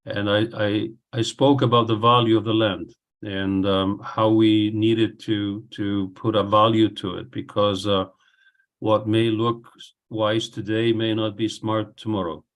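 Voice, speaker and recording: A man, speaking as a guest on a video call in a rambling, unhurried, self-deprecating way. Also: fairly low pitch, little pitch movement. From Iceland. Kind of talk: casual online talk. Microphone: computer on a video call.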